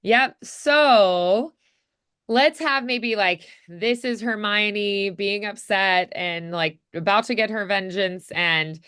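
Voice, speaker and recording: Bright, clear-voiced woman coaching casually. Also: mid-range voice, slow. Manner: animated, encouraging, acts out examples. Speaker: voice acting coach.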